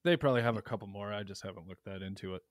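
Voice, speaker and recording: A man's voice: on the low side, very fast, dry recording, clean studio sound. Jokey man, casual podcast banter. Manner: jokey, rambling, drops to mumbles. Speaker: podcast co-host. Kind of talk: casual chat.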